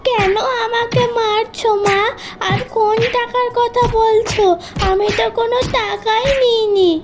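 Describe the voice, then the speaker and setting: Very high, slow, pleading little girl. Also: steady tone, loud music behind the voice. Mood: pleading, tearful. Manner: pleading, plaintive. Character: timid, mistreated little girl, obedient and pitiful. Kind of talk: melodramatic cartoon voice acting.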